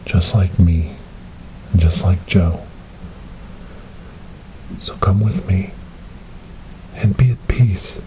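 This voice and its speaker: A man playing a gentle, devout rescuer in an ASMR storytelling roleplay, speaking softly and breathily in a soothing, reassuring way. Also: low voice, takes it slowly, long pauses, slight background noise, poor audio. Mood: reassuring, solemn. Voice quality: soft, breathy, whispery. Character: gentle, devout male rescuer coaxing a lost ghost girl.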